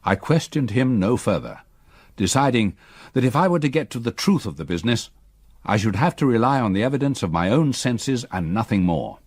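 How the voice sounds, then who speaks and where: A resolute gentleman narrator in a gothic radio drama. His low voice is husky and expressive, close and dry, with formal literary phrasing. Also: no room sound. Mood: sombre, resolute. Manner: formal, measured literary diction. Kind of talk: scripted first-person drama narration.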